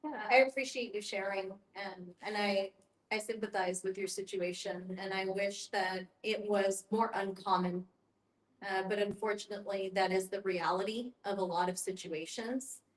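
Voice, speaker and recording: A woman's voice: medium pitch, steady tone, normal speed. A woman explaining things step by step as an educator leading a group discussion, in an instructive way. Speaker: end-of-life educator and guest facilitator. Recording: roomy, echoing sound.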